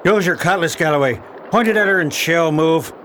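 A man's voice: fairly high pitch, a little fast, pushed and bright, slight background noise. An actor playing a stern pirate crewman who speaks for the crew in formal, declamatory archaic talk, in a radio drama. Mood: grim.

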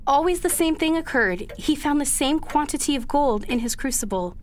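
Dutiful young daughter, high voice, sorrowful, earnest, old-fashioned formal phrasing, radio play, faint noise. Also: female voice, expressive, moderate pace. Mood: wistful. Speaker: lead actress and narrator. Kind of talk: dramatic period radio theatre.

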